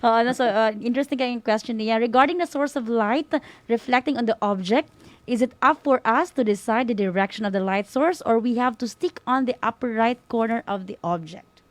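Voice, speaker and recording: A woman's voice: a little high, a little fast, no echo. A Filipino woman with a Visayan accent hosting a school-on-the-air session informally, mixing English and Visayan and often adding "no?" as a tag. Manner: switches between English and Visayan, frequent "no?" tags. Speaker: host of a radio school program.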